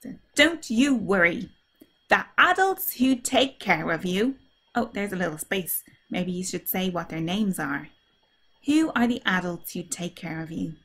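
A woman drama teacher reading a story aloud to children, asking them simple questions in an animated, child-directed way. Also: fairly low pitch, a little slow, husky. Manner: animated, simple child-directed talk, acting out feelings and sounds.

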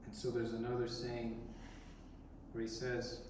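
A man in his thirties, an Orthodox priest, giving a reflective sermon with pauses, recorded from a distance in a large church. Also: medium pitch, monotone. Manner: slow, reflective, with pauses and restarts. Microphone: distant camera in the congregation. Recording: echoey church from far off, background noise.

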